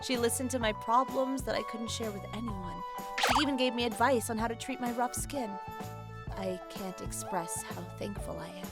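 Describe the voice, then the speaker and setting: A woman acting as a mean-spirited young office beauty in a manga dub. Her voice sits fairly high and she talks down to people in a needling, condescending way. Music plays clearly behind her. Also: normal speed, close to an everyday voice. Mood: subdued, apologetic. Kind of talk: dubbed manga story acting. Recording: voice over background music.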